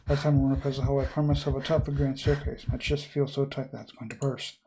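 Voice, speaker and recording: A man's voice: medium pitch, level tone, fast talker, background noise. A man hosting a game video, reading visual novel text aloud dramatically with casual commentary and some stumbles, game music behind him. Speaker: gaming video host.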